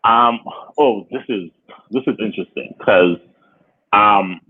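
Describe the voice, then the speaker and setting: A man's voice: fairly low pitch, takes it slowly. A middle-aged man, a chef speaking as a guest on a video call, thoughtful and full of restarts. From Barbados. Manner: thoughtful, with many restarts and fillers. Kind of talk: casual video call interview. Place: indoor room. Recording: tinny phone-like video call audio.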